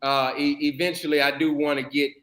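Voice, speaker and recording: A man's voice: fairly high pitch, little pitch movement, brisk, fairly bright tone, reverberant. A man in his forties hosting a live chat, stumbling and repeating words as he talks. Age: 40s. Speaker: host. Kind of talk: casual live-stream chat.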